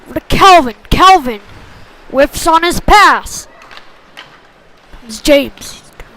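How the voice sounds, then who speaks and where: A woman's voice: high voice, takes it slowly, rough recording. A woman doing live play-by-play commentary of youth ice hockey in short clipped phrases. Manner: short clipped phrases, excited on big plays.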